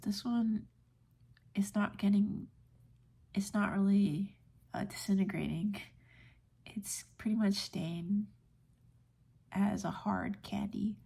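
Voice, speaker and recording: An American woman in her late twenties rambling in a soft-spoken, whispery, breathy ASMR style, gentle and unhurried. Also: medium pitch, fairly even intonation. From United States. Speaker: ASMR video creator.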